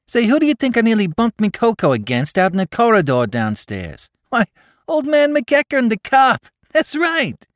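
A man doing a squeaky New York crook. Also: no echo, studio quality, full character voice. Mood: excited. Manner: excited, slangy, fast. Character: cheeky young male Bowery crook, excitable.

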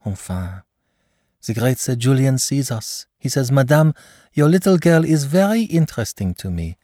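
A man's voice: on the low side, lively intonation, takes it slowly, a little mellow, breathy edge, no room sound, studio quality, pitched above the performer's own voice, full character voice. A man reading an audiobook, voicing a young émigré mother who gushes and slips French phrases into her English. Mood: gushing. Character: anxious, effusive young émigré mother, woman.

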